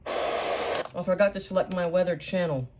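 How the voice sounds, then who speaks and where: A woman's voice: fairly low pitch, normal speed. A woman giving casual, unscripted setup commentary, thinking aloud and muttering in short phrases. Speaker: product unboxing and setup presenter. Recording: clear background noise, button clicks.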